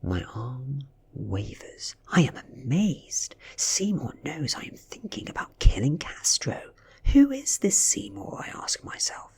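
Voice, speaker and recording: A British man reads fiction in a soft ASMR style, breathy and half-whispered. His delivery is slow and hushed, with dramatic rises and falls in pitch as he tells the story. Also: wildly swooping pitch. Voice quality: breathy, often dropping into a whisper. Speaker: audiobook-style reader.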